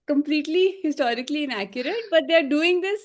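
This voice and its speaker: A woman's voice: high voice, lively intonation, quick pace. A woman co-hosting a casual film review chat, speaking her opinions emphatically. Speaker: podcast co-host reviewing a film.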